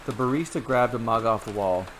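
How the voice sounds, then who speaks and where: A man narrates a short fairy tale in a steady, even reading voice at a middle pitch. A faint hiss of rain noise sits underneath the voice. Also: a little fast, low-quality recording. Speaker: storyteller. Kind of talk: scripted story narration.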